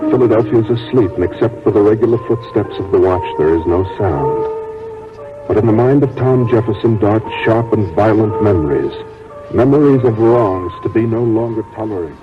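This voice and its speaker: A man's voice: lowish voice, normal speed. A man reading solemn, dramatic scripted narration in the style of 1940s radio. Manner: grave, dramatic. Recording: tinny narrow-band 1940s radio recording. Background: music.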